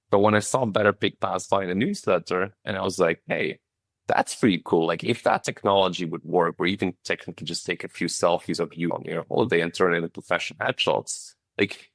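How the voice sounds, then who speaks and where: A man's voice: sits low, no room sound. A man from Flanders with a Flemish Belgian accent, answering conversationally as a podcast guest in an informal way, often quoting imagined dialogue. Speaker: company founder, podcast guest.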